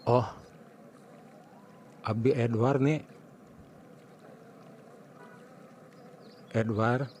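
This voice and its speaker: A man's voice: mid-range voice, fairly even intonation, takes it slowly, darkish tone, a little hiss or hum, natural voice. A man with a Sundanese accent playing an arrogant, scheming young suitor in a comic cartoon, muttering and exclaiming to himself. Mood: calling out politely. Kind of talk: Sundanese comic animated storytelling, acted dialogue.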